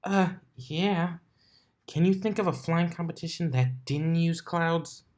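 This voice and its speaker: A man's voice: a little high, measured pace, a little mellow, pitched above the performer's own voice, lightly acted. A man voicing a brash, bossy young female pegasus pony in a flippant way for a story reading. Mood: bossy, cocky.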